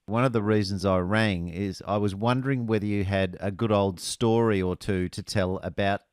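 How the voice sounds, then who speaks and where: A man's voice: fairly low pitch, steady tone, normal speed, a little mellow, no echo, clean studio sound. A man phoning relatives, prompting them for family stories with friendly, brief replies. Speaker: caller interviewing relatives. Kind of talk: casual phone chat.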